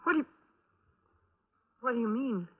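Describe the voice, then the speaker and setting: An actress playing an uneasy young widow under questioning in a radio drama, defensive and halting as she answers. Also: on the high side, expressive, breathy edge. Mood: alarmed, defensive. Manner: halting, hesitant denials and admissions. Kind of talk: 1950s radio drama acting. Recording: tinny band-limited old radio recording.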